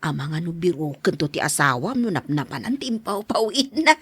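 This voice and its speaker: A woman's voice: lowish voice, expressive, a little fast, fairly bright tone, husky. A woman acting an older, plain-spoken mother giving advice in a podcast drama. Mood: advising.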